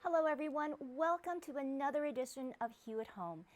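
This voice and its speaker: Host: woman, slightly high voice, upbeat, welcoming interview manner. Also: fairly quick, over music. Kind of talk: interview hosting.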